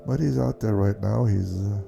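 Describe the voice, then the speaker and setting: A man giving a casual, unscripted software walkthrough, explaining things step by step in an informal way. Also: on the low side, normal speed, creaky, dull audio, soft background music. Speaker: tutorial presenter.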